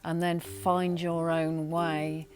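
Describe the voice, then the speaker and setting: A woman giving deliberate professional advice in an interview. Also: sits low, level tone, a little mellow. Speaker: radio drama producer giving writing advice.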